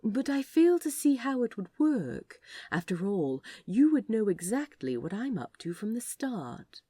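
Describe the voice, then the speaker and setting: A woman's voice: a little high, sing-song, unhurried, mellow, breathy edge, dry recording, pitched above the performer's own voice, exaggerated voice. A woman voicing a prim, polite, eager male office worker with a formal, arch and playfully theatrical manner.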